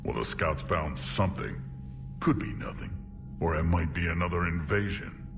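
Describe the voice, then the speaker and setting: An actor voicing a huge, proud, menacing alien clan leader in a video game, with a gravelly rumble and a blunt, domineering delivery. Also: male voice, moderate pace, music in the background. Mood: stern warning. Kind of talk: video game cutscene acting.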